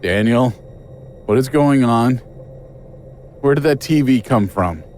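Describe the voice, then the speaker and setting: A man's voice: medium pitch, lively intonation, slow, darkish tone, a little hiss or hum. An actor playing an angry, suspicious male investigator in a horror audio drama, blunt and confrontational. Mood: bewildered.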